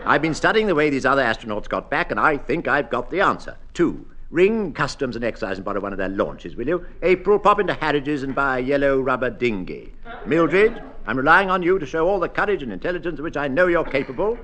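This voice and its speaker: An actor playing a pompous, unflappable, scheming senior British civil servant in a radio sitcom, with a British accent. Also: male voice, mid-range voice, big pitch swings, brisk, a bit bright. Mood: confident, scheming. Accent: British English. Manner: pompous, commanding.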